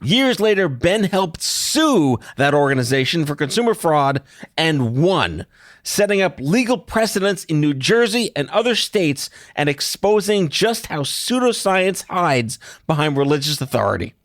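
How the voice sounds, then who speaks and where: A man's voice: a little high, very animated, a little slow, no room sound, clean studio sound. A middle-aged man hosting a podcast interview, talking in a blunt, wry and casually profane way. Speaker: podcast host.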